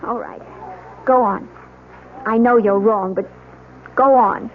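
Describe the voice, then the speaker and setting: An actress playing an anxious, disbelieving newlywed wife in a radio drama, answering in an indignant, challenging manner. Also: female voice, fairly high pitch, fairly even intonation, slow. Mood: strained, reluctant. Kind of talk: 1970s radio drama acting. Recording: tinny, noisy old radio drama recording.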